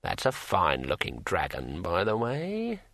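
Storybook undead elder: brittle old voice, very slow and halting, swooping pitch, musing and muddled. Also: male voice, huge pitch swings, a bit bright, no room sound, clean studio sound, heavily acted. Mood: musing, conversational. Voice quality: brittle, aged. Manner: slow, halting, drawn-out delivery. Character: slumped dead old man, conversational, rambling, confused about time.

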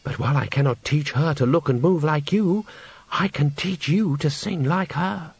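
A man's voice: mid-range voice, unhurried, vocal fry, fairly bright tone, breathy edge, no room sound, heavily acted. Man as a mysterious coaxing stranger, close and dry. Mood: promising. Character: mysterious, pleasant, coaxing voice from the dark.

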